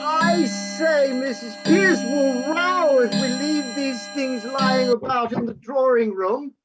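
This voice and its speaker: An actor playing a genial, mild-mannered gentleman in a staged play reading, polite and hesitant in his talk. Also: very high voice, slow, over loud music. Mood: mild, amused.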